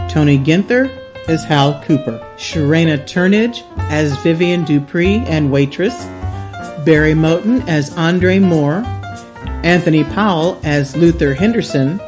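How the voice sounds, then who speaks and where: A woman serves as narrator, delivering scripted credits. Her pitch is notably low for a woman. Guitar music plays clearly behind her.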